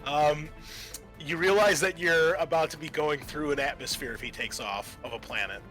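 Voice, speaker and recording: A man narrating a tabletop horror game as game master, improvising in a halting, casual way with some swearing. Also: on the high side, a bit bright, soft background music, poor audio.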